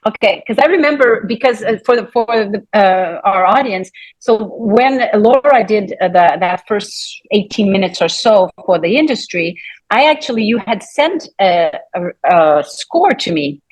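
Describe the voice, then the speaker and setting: Woman hosting an opera chat, medium pitch, keeps interrupting herself, wandering questions. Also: lively intonation, a little slow, low-quality recording. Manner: meandering, self-interrupting. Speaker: moderator from an opera company. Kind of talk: conversational interviewing.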